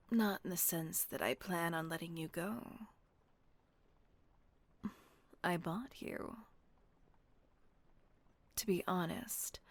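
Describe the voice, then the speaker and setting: A refined female vampire acted out by a woman speaks in a low, husky half-whisper with a creaky edge. She is unhurried and genteel, soothing her captive with gentle, possessive kindness in an intimate audio roleplay. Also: no echo, exaggerated voice. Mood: gently possessive.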